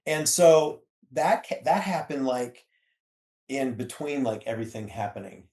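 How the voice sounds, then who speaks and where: A man in his fifties chatting casually as a guest, halting and chiming in with short interjections. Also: medium pitch, lively intonation, darkish tone. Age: 50s. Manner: halting, interjecting, echoing partner's words. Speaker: guest, author's husband. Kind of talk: casual chat. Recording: echoey room.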